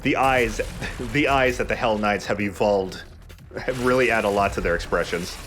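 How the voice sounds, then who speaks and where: A man's voice: fairly low pitch, normal speed, fairly bright tone, slight background noise. A man hosting a video game let's play, chatting casually in a joking, casually profane way. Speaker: let's play host and player. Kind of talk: casual gaming commentary.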